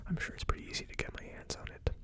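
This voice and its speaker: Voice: rapid-fire, fairly bright tone, dry recording. A person giving a casual ASMR tasting review as host, speaking informally and a little tentatively. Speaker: host of a whiskey tasting series.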